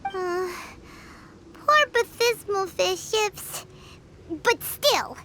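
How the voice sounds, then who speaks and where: Tiny, squeaky, very high-voiced fairy girl. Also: lively intonation, extremely slow, slight background noise, heavily acted. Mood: doubtful. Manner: squeaky, cute, emphatic, speaks of herself in third person. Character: tiny childlike female fairy companion, excitable, indignant, loyal. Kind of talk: video game voice acting. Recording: loud music behind the voice.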